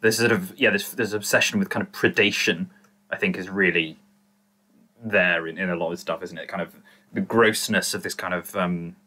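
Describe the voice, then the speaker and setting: Low, bright-voiced man chatting. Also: steady tone, moderate pace. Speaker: podcast panellist. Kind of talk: casual podcast discussion.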